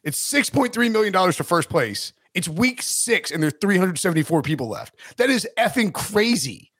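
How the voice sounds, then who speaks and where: A man's voice: high voice, very animated, normal speed, fairly bright tone, slightly rough, dry recording. Rambling, upbeat sports podcast host man. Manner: rambling, self-interrupting, laughs often. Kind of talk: casual sports chat.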